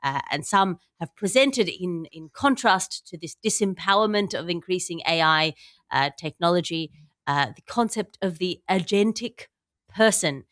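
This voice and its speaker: A woman hosts a satirical news panel in a fairly low voice. She speaks in long, formal, articulate phrases. The sound is dry, with no room on it. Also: lively intonation, normal speed, fairly bright tone, no room sound. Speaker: podcast host.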